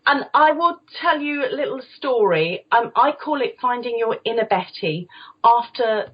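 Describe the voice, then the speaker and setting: A woman's voice: fairly high pitch, animated, moderate pace, breathy edge, muffled recording. A middle-aged British woman speaking as an interviewed voice coach, explaining conversationally and checking that she is understood. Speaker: guest voice coach, former opera singer.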